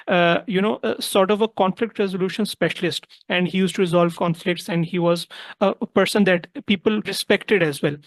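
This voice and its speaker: Pakistani-accented speaker, close dry sound. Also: female voice, fairly low pitch, no echo. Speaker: interview guest, mediator. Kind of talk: personal storytelling in an interview.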